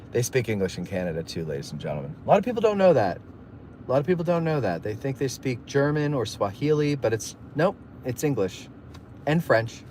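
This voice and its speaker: A man's voice: mid-range voice, a little mellow, a little hiss or hum. A man hosting a casual live stream, rambling through stories and jokey asides while reading viewer messages. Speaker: livestream host. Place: in a moving car.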